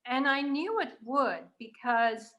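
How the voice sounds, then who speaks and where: Higher-pitched woman hosting a casual chat. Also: expressive, slow, a bit bright. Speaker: host, writer interviewing a guest.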